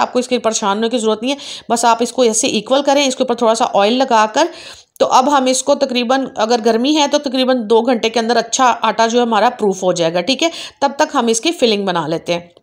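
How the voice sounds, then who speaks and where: A woman hosting a home cooking channel, patiently explaining each step and often checking in with viewers. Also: a little high. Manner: patient, repeats "theek hai" to check in with viewers. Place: home kitchen.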